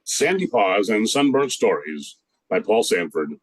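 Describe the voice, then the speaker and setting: A man's voice: fairly low pitch, very animated, a little slow, no room sound. Dry-sounding man announcing radio drama. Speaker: narrator and announcer. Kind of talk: scripted radio drama narration.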